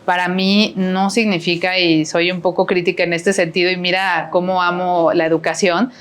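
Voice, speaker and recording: Mexican lady on a podcast, bit low voice, lots of "no?" and "o sea". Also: little pitch movement, moderate pace, clean tone. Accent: Mexican Spanish. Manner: rambling, many fillers and tag questions. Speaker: podcast guest, HR professional. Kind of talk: casual conversational interview.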